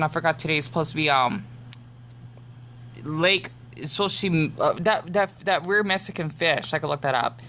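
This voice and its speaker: A woman's voice: mid-range voice, sharp tone, slight background noise. An American woman giving rambling casual commentary as a YouTube reactor, full of fillers and restarts. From United States.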